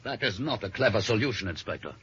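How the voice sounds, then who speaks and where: An actor playing a haughty widowed husband under suspicion, formal and theatrical, in 1950s radio drama style. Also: male voice, on the low side, lively intonation, quick pace, a bit bright, slightly rough, no room sound, exaggerated voice. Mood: indignant. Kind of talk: 1950s American radio crime drama acting. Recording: muffled, noisy old radio recording.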